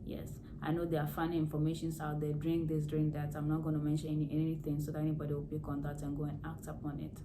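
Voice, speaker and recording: A hoarse woman with a low voice talks through home care in a casual vlog, explaining plainly and going back over the important points. She is recorded to camera, with a steady hiss of noise behind her. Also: quick pace, few pauses. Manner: plain explaining, repeats key words. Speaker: nurse vlogger. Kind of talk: casual health advice vlog.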